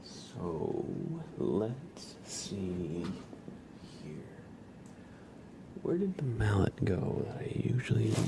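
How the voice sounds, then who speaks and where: A man hosting an ASMR livestream, chatting casually in a hushed voice that often slips into whispers. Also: on the low side, very animated, very slow, vocal fry, noisy background. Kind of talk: casual soft-spoken ASMR stream chat.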